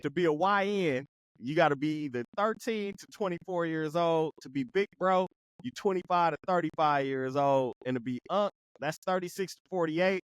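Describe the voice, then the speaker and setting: A man's voice: on the high side, moderate pace, clear, resonant tone, no room sound, low-quality recording. Laid-back man hosting a podcast. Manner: laid-back, laughs often, earnest. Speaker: podcast host. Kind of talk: casual podcast chat.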